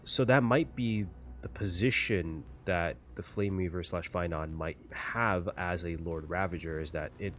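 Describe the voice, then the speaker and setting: A man giving casual reaction commentary on a game story, thinking aloud and rephrasing as he theorizes. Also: deep voice.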